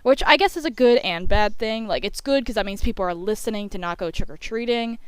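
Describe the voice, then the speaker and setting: Young woman doing a chatty storytime, super clear smooth voice, close dry sound, giddy and rambly. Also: medium pitch, fairly quick, dry recording. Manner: giddy, animated retelling with acted-out quotes. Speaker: storyteller narrating over a speedpaint video.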